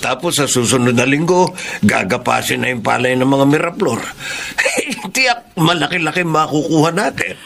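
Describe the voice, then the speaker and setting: Gravelly, hardworking Filipino father. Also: a little high, lively intonation, moderate pace, a bit bright. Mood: hopeful. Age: middle-aged. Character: hardworking, caring father, a fisherman and farm hand. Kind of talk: Filipino radio drama acting. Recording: faint background noise.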